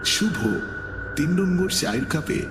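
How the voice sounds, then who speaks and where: A man's voice: high voice, a little slow. Echoey male horror story narrator. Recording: echoey, long reverb, music behind.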